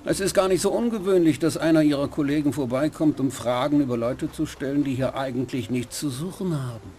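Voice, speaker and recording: A man's voice: on the high side, brisk, mellow, a little hiss or hum, close to an everyday voice. A man playing a smug, mocking club owner. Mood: mocking, worldly. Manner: smug, condescending, drawling. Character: smug male nightclub owner, worldly and mocking. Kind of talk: dubbed game voice acting.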